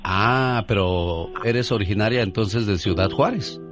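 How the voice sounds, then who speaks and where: A man hosting a call-in radio show alone in the studio, chatting warmly and affectionately with a caller. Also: lowish voice, measured pace, music in the background. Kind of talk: live call-in radio talk. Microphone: studio microphone.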